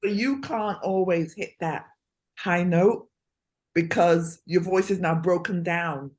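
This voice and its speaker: A woman's voice: on the low side, fairly even intonation, slow, a little mellow. A woman hosting a casual interview, talking freely and often asking "you know what I mean". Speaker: host.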